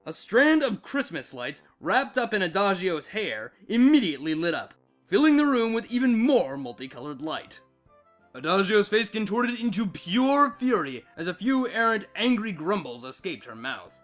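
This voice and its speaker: A man narrating a scripted story with dramatic, expressive delivery. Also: high voice, moderate pace. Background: background music.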